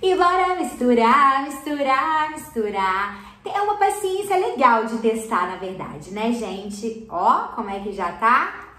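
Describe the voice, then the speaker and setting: A woman in her thirties hosts a hands-on slime tutorial, chatty and encouraging, checking in with viewers as she works. Her voice sits a little high. The sound carries a noticeable room echo from a small indoor studio. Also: very animated, slow, sharp tone. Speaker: slime channel host. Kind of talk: hands-on craft tutorial for kids. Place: indoor studio corner packed with colorful slime products. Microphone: fixed camera at table, mid shot.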